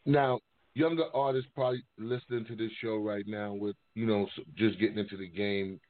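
A man hosting an informal music interview, halting and often rephrasing his questions mid-sentence. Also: lowish voice, steady tone. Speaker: interviewer, music show host. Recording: muffled phone-like sound.

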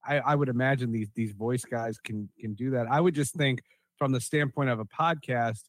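A man hosts a casual podcast discussion in a mid-pitched voice. He speaks in a halting, conversational way, doubling back on words, and the sound is dry and close. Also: no room sound. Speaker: podcast host.

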